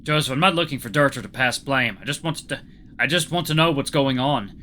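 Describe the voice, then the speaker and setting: A man's voice: medium pitch, a little fast, pushed and bright, slight background noise, lightly acted. A man voicing an anxious but persistent animal hero in a story, speaking in an earnest, probing, informal way. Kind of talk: story character reading.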